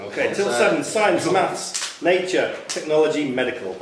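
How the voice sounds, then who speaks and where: A man's voice: on the high side, lively intonation, normal speed, over music. A man reading quiz questions aloud as quizmaster at a live event. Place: function room at a club quiz night. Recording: roomy, distant mic, faint noise, clicks.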